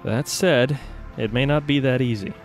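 A determined guild mage played by a man schemes aloud in an audio drama. His pitch is medium, with faint music behind him. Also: moderate pace, lightly acted. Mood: grave.

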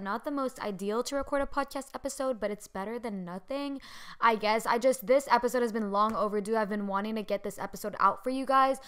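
A young woman hosting her solo podcast, a little hoarse, chatting casually with lots of fillers and self-deprecating asides. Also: medium pitch, pushed and bright. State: hoarse from screaming the day before. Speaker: podcast host.

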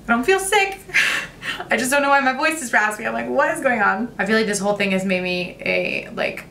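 A young woman, talking through a makeup tutorial in a chatty, gushing way, her voice a little raspy today. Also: a little high, animated, brisk, fairly bright tone, echoey room, distant mic, slight background noise. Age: young adult. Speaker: beauty vlogger. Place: bright home room with makeup shelves. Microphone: camera at close framing.

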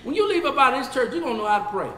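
A man in his fifties with a Southern US accent teaching a Bible study as pastor. He swings from shouting to low talk, recorded in a church sanctuary on a lapel mic. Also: very animated, racing, reverberant, in a large hall. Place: church sanctuary, seated before a projection screen.

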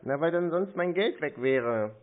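A man's voice: a little high, animated, measured pace, a crowd in the background. Laid-back man, muffled call audio. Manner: laid-back, dryly teasing. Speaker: scam-baiting YouTuber on a phone call. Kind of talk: casual teasing phone conversation. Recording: muffled narrow-band phone call audio.

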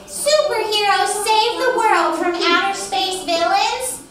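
A woman's voice: very high-pitched, slow, a bit bright, recorded from a distance, voice pushed up, heavily acted. A woman reading a picture book aloud as a blunt, exclamatory spider, friend to a little fly. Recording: echoey room from afar, children around.

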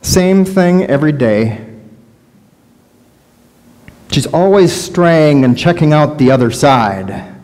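A man's voice: on the high side, animated, slow, long pauses, a little mellow. A middle-aged man voicing a skittish, fearful sheep in a first-person sermon monologue, recorded in a large church sanctuary. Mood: anxious. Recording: long church reverb.

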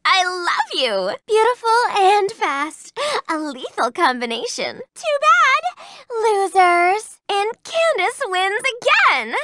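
Brief: a spoiled rich-girl race car, very high and bright, lively sing-song, dry studio sound. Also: slow, no echo, exaggerated voice. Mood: haughty. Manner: haughty, pouty, whiny. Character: spoiled rich young female race car, vain and bratty. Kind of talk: video game voice clips.

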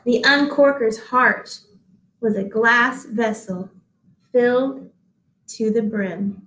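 A woman in her thirties reading a picture book aloud to children, deliberate and expressive, pausing to address listeners at home. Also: a little high, a little hiss or hum. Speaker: read-aloud host, teacher. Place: small indoor office-like room. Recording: echoey small room.

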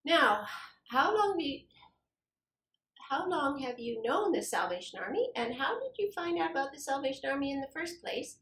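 A middle-aged woman interviewing a church staff member with gentle, measured questions in a small office. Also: sits high, sing-song. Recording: echoey office room.

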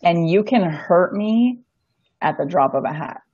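A woman hosting a casual chat on a streamed show, frank and animated, over a video call. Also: lowish voice, measured pace. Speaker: show host. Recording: dull lo-fi stream audio.

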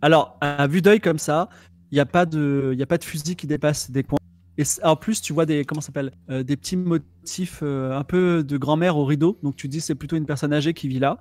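A man's voice: on the high side, fairly even intonation, a little fast, a little mellow. A man, narrating as game master, hesitant and full of fillers, at a studio mic at home. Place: home room with bookshelves. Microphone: headphones and studio microphone.

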